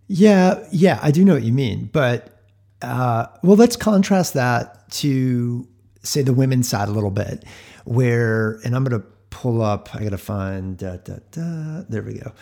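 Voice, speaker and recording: A man with an average-pitched voice chats casually as a sports podcast co-host. He is analytical and conversational, working through his points aloud. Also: lively intonation, a little slow, creaky, dark tone.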